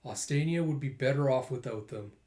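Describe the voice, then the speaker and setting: A man's voice: medium pitch, fairly quick, dark tone, natural voice. A man voicing a stern old soldier, a director who speaks with dry, formal menace. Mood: cold disdain. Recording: roomy echo.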